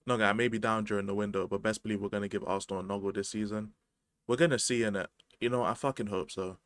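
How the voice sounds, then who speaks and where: A man's voice: lowish voice, fairly even intonation, fast, a bit bright, no room sound. A man, chatting casually about football as a podcast host, slangy and rambling. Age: 20s.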